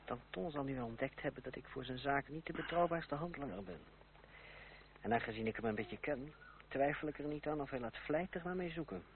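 A man plays a shrewd young former revolutionary in a radio drama. He speaks in a measured, explanatory manner with cool self-assurance. The sound is narrow and tinny, over faint background noise. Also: medium pitch, close to an everyday voice. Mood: calm, explanatory. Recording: tinny, faintly noisy old radio recording.

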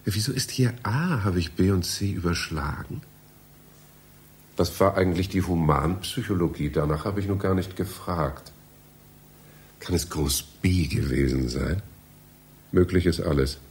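An actor playing a polite, anxious, bumbling library visitor in a German radio play, with a hesitant, puzzled manner. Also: male voice, deep voice, a little mellow, slight background noise. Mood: puzzled muttering. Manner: hesitant, puzzled, muttering to himself.

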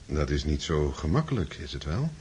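An actor playing a quietly menacing man in a radio drama, measured, patronising and mock-kindly. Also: very deep voice, big pitch swings, slight background noise. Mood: falsely kind. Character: sinister, falsely soothing man, quietly menacing.

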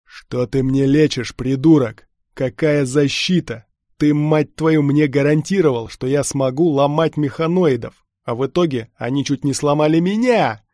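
A man's voice: mid-range voice, normal speed, mellow, no room sound, studio quality, close to an everyday voice. Man voicing a menacing huge cyborg trooper, growling. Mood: furious. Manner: growling, sneering, threatening. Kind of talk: audiobook character acting.

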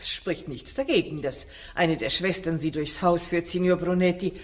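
An actress playing a courteous, composed nun in a 1990s radio crime drama, polite and measured. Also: female voice, on the low side, natural voice. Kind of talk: 1990s German radio play acting.

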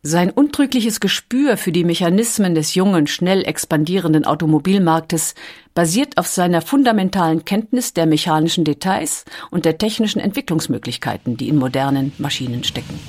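Woman narrating a documentary, lowish voice, calm clear read. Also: brisk.

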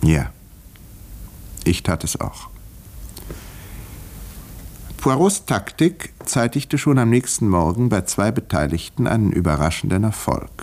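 An actor playing a composed, educated doctor in a 1950s German radio mystery, speaking in a measured, dry and precise way. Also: male voice, low-pitched, darkish tone, heavily acted. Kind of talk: 1950s German radio drama narration and dialogue.

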